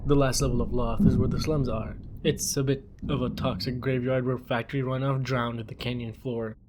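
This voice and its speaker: A man's voice: mid-range voice, level tone, a little fast, heavy background noise. A man co-narrating an atmospheric scripted fiction podcast.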